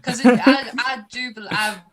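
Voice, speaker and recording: A woman's voice: fairly high pitch, steady tone, normal speed, crowd noise. A woman with a North East English accent chatting casually on a podcast.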